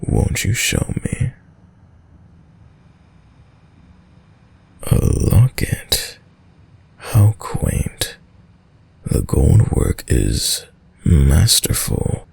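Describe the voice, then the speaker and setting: A man playing a dominant, sadistic vampire lord in an intimate audio roleplay, speaking in a deep, breathy, gravelly voice with courtly, teasing menace. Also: big pitch swings, a little mellow, full character voice. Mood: courteous, teasing. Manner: slow, silky, formal, teasing menace with drawn-out pauses.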